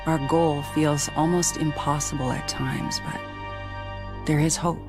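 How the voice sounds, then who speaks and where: A woman playing a weary, resolute military officer, narrating a game log in a measured, reflective way. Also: low voice. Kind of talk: scripted cinematic log narration. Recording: music behind the voice.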